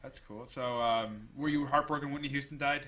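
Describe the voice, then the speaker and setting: A man's voice: medium pitch, steady tone, brisk, dull audio. A young man hosting a casual interview show, rambling loosely and joking between questions. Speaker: host.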